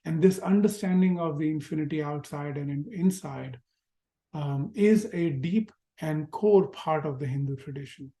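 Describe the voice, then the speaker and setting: Indian-accented man, mellow reflective teacher. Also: on the high side, normal speed. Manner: reflective, gentle, with rhetorical questions. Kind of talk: spiritual lecture to an online class. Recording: roomy echo.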